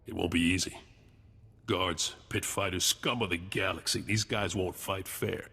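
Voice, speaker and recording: Sci-fi game spy: world-weary and clipped, low husky voice, forceful. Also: male voice, steady tone, unhurried, big-room sound, exaggerated voice. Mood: warning. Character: clipped, world-weary male intelligence agent in space. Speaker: intelligence agent. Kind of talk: video game dialogue.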